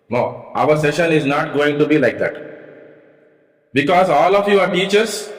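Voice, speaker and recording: High-voiced man leading a teacher workshop. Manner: earnest, repeats key points, invites answers. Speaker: teacher trainer. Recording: echoey hall with long reverb.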